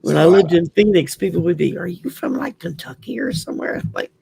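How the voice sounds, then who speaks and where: A man with a US Southern accent talks in a relaxed, chatty way, sharing stories as a guest. His voice is growly and heavily gravelly, pitched on the higher side for a man, with a husky, raspy edge. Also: a little fast. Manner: chatty, open, storytelling. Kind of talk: casual chat.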